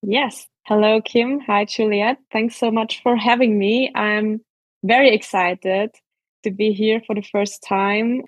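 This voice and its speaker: A woman's voice: mid-range voice, steady tone, takes it slowly, no room sound. A woman with an Austrian accent, a native German speaker, explaining things carefully as a podcast guest. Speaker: podcast guest, sports scientist. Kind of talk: careful explanatory interview talk.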